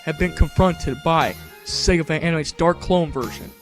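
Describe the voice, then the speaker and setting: A man reading scripted narration for a fan video in an even tone. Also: on the high side, moderate pace, soft background music.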